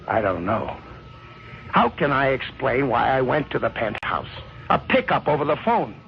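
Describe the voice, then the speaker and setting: A desperate husband on the run in a vintage radio thriller. His voice is gravelly and urgent, halting when cornered. The recording is muffled, with hiss underneath. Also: mid-range voice, sing-song. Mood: anguished, resigned. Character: desperate married man on the run, suspected of murder. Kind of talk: old-time radio crime drama acting.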